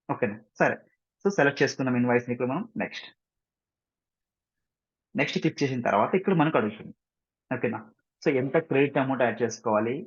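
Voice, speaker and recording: A man with a Telugu Indian accent speaks very quickly in a dull, lo-fi recording. He sounds like an instructor walking through software informally, dropping English technical terms into his speech and pausing for brief check-in questions. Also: medium pitch. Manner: often checks understanding with short tag questions. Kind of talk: informal screen-share tutorial.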